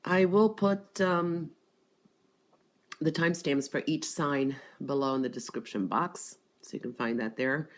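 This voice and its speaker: A woman with a stuffed-up, congested voice giving an intuitive spiritual reading, pausing often with "um" and repeating that she feels the energy. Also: sits low. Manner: hesitant, frequent "um" and "I do feel that energy". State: congested from allergies. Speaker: tarot and gemstone reader.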